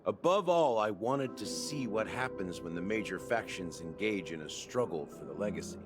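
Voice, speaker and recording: An actor playing a secretive man who solemnly lays bare the dark history of a dream world in a game cutscene. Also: lowish voice, lively intonation, close to an everyday voice. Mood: grave. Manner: measured, solemn explaining. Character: grave schemer revealing a dreamland's dark secret. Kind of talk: video game cutscene dialogue. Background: game music.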